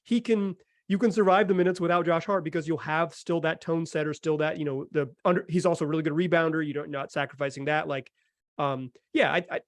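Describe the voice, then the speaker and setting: A man's voice: on the high side, steady tone, quick pace, no echo. A man hosting a sports podcast, talking informally and repeating phrases for emphasis, close on the microphone. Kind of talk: casual sports talk and analysis.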